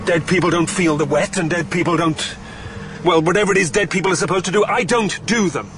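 Brooding ghost narrator, faint noise. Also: male voice, high voice, fairly quick, a bit bright. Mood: defiant. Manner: brooding, literary. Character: lonely, troubled dead man narrating, in denial. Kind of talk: dramatic narration and radio drama acting.

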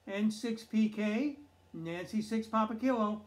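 A man's voice: sits high, unhurried. An older man working as an amateur radio operator, giving clipped exchanges with phonetic spelling over two-way radio.

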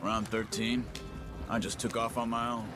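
An actor playing a plain-spoken, thoughtful outlaw drifter with an American accent in a western game. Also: male voice, lowish voice, little pitch movement, close to an everyday voice. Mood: somber, reflective. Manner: measured, matter-of-fact. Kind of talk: cinematic western game acting. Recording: music and horse hooves behind.

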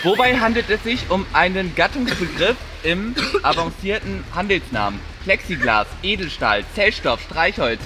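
A man's voice: sits high, animated, normal speed, few pauses, heavy background noise. A young man reading out quiz questions and chatting casually at an indoor pool. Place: large indoor swimming pool hall. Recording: loud music behind the voice. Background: music.

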